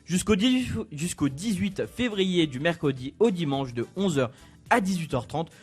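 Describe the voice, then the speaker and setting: A young male columnist runs through local events in a brisk, informative read. His voice sits fairly high and a little husky. A faint music bed plays under it. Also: expressive. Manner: brisk, informative, laughs easily. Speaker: radio columnist. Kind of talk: reading a culture events column.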